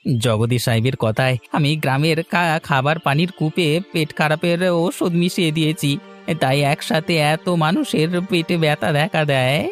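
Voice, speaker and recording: A man's voice: a little high, normal speed, faint music behind, lightly acted. A male actor voicing a sly, fawning, theatrical henchman of a village boss in a cartoon. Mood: confessing. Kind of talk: animated cartoon dialogue.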